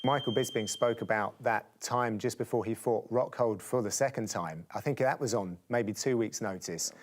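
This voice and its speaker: A man's voice: on the low side, normal speed, a little hiss or hum. A man interviewing a fighter, a commentator asking friendly, enthusiastic questions.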